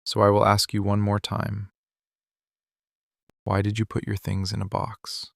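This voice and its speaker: A man playing a possessive, wounded mafia boss in a boyfriend ASMR roleplay, speaking in deliberate, clipped, interrogating phrases. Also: lowish voice, monotone, vocal fry, no room sound, studio quality. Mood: suspicious, probing.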